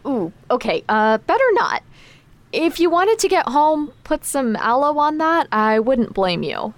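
An actress playing a caring but exacting magic trainer in a naturalistic audio drama, frank and instructive in manner. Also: female voice, high voice, sing-song, unhurried. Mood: gentle. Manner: frank, instructive, gently concerned.

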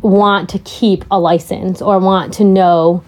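A middle-aged woman co-hosting a true crime podcast, with a loud, fairly deep voice and a chatty manner full of laughing asides. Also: steady tone, slow pace. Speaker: podcast co-host. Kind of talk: casual true crime chat.

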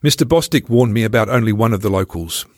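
A man's voice: fairly low pitch, fast, a little mellow, dry recording, clean studio sound. A man reading his own travel memoir aloud as scripted first-person narration.

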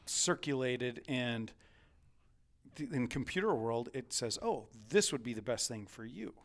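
A man's voice: medium pitch, expressive, unhurried. A man co-hosting a podcast, chatting casually. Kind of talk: casual chat.

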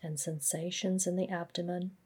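A woman gently guiding a body scan meditation, speaking in a measured, instructional way. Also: fairly low pitch, level tone, husky. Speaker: mindfulness practice guide.

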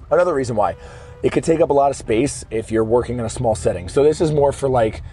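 A hoarse man hosting a fitness podcast from his car in traffic, explaining things casually and often correcting himself mid-sentence. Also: medium pitch, fairly quick, mellow. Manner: conversational, explaining step by step with frequent self-corrections. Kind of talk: casual solo podcast monologue. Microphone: podcast microphone.

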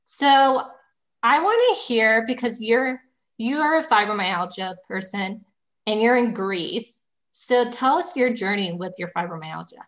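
A woman's voice: fairly high pitch, expressive, unhurried, a bit bright. A woman hosting a podcast interview over a video call, friendly, with short encouraging replies. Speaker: podcast host.

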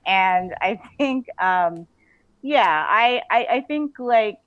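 A woman's voice: mid-range voice, expressive, slow pace, no echo. A woman chatting casually and enthusiastically as an interview guest, in an informal way. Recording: narrow phone-like call audio.

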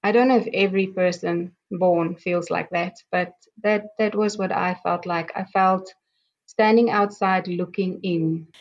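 A middle-aged woman from South Africa, a native Afrikaans speaker with a South African accent, slowly telling her life story as a guest, with many pauses and ums. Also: lowish voice, steady tone. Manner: slow, halting, many ums and restarts. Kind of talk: reflective personal storytelling. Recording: dull lo-fi sound.

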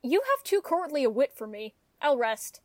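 A woman's voice: high-pitched, expressive, normal speed, no room sound, studio quality. A woman playing an honest, contented, plain-spoken male shepherd in a Shakespearean radio play. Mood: humble, proud.

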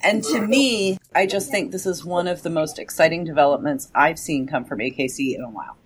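A woman's voice: low-pitched, brisk. A woman hosting a podcast, with an enthusiastic, emphatic way of talking and scripted promo reads. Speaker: podcast host.

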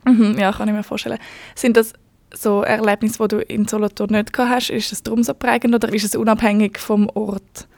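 A woman's voice: mid-range voice, level tone, fairly quick, gravelly, a little mellow, dry recording. A woman with a Swiss German accent, hosting a podcast and asking short questions. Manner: brief questions and backchannels. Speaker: podcast host. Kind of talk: interviewing.

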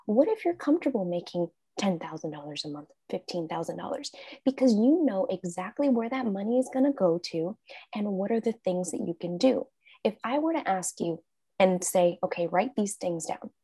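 A woman's voice: medium pitch, normal speed, slightly rough, no room sound. A woman life coach, a guest in an interview, talking casually and acting out her own thoughts in quotes. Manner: animated, acts out her own quoted thoughts, frequent laughs.

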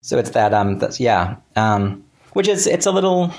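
An American man talking casually as an interview guest, in a halting, self-correcting way. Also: medium pitch, big pitch swings, a little mellow. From Central Florida, United States.

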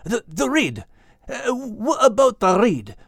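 A man's voice: very high-pitched, very animated, a little slow, no room sound, clean studio sound, voice pushed up, full character voice. A man voicing a troubled, earnest furry animal with a folksy, pleading manner in an audiobook. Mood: puzzled. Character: troubled, earnest furry animal, male, self-doubting friend. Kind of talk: audiobook character voice.

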